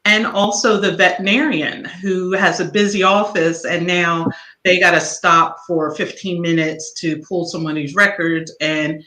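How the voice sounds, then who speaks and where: A woman co-host chats casually on a live stream, her bright voice ringing in a roomy, echoey space. She talks in a relaxed way and leans on fillers like "you know.". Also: fairly low pitch, moderate pace. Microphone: video call. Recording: echoey room.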